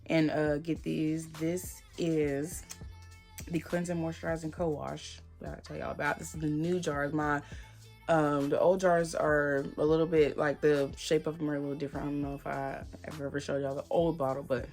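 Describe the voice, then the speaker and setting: A woman with a slightly hoarse voice, chatting casually and rambling as she reviews hair products. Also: sits low, little pitch movement, moderate pace, a little mellow, faint music behind. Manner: chatty, rambling, lots of fillers. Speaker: hair vlogger.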